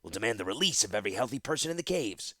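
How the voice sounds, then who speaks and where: A man's voice: fairly low pitch, sing-song, rapid-fire, fairly bright tone, no echo, studio quality, full character voice. A man voicing a sick, bandaged male rebel leader who speaks urgently and insistently.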